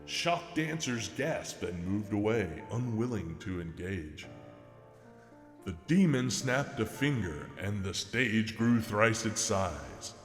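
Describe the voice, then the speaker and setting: A man narrates a spooky rhyming poem in a low voice that swings dramatically up and down. His delivery is theatrical and deliberate. A long echoing reverb surrounds him, with faint music underneath. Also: big pitch swings. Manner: theatrical, deliberate, measured in rhyme. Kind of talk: dramatic reading of a horror poem. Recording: echoey reverberant hall effect, faint music.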